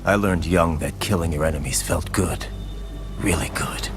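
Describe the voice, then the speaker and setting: A man's voice: normal speed, close to an everyday voice. An actor playing a grim male cyborg warrior who broods darkly and admits his love of killing, in a video game cutscene. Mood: dark, confessional. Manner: low, gravelly, brooding, turning to savage shouts. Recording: game cutscene over loud music.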